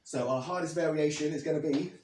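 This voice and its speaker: A man in his thirties, slightly hoarse after losing his voice, explaining and demonstrating leg exercises step by step as a fitness coach. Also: a little high, fast talker, a little mellow, slight background noise. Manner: plain, step-by-step coaching while demonstrating moves. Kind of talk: instructional workout demo. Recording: echoey, from a distance.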